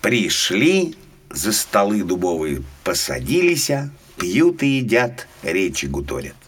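Very animated male fairy-tale narrator. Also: sits low, slow, slightly rough. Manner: expressive, dramatic storytelling. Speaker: storyteller. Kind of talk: scripted fairy-tale narration for small children. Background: faint music.

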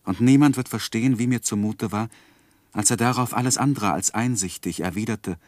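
A low male voice for a sensitive, earnest young gentleman in a vintage radio drama, played by an actor. He speaks in measured literary phrases with heartfelt feeling. The recording is close and very dry. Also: dry recording, lightly acted. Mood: reflective, downcast. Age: young adult. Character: earnest, lovestruck, sensitive young gentleman narrating his life. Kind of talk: 1960s German radio play acting and narration.

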